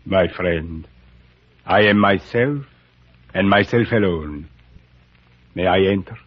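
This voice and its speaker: A man playing a courteous, cryptic Indian high priest in an old radio drama, speaking in a formal, pompous, deliberate way. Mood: suave. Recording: muffled vintage radio drama recording.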